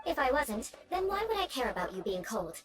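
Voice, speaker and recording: Haughty elegant noble knight girl from a video game, high lively voice, strict but teasing, music behind. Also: a little fast. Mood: teasing, composed. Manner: formal, composed, haughty instruction. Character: poised aristocratic young woman knight, strict, teasingly vengeful.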